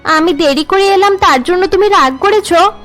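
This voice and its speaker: A woman's voice: very high-pitched, normal speed, a bit bright, soft background music, voice pushed up. A woman voicing a naive, cheerful young village bride in a cartoon, eager and submissive. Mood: concerned, sweet.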